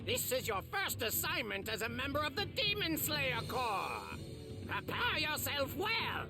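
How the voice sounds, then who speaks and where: A woman's voice: high voice, sing-song, measured pace, over music, exaggerated voice. A woman voicing a pompous, commanding messenger crow that proclaims orders in an anime video game.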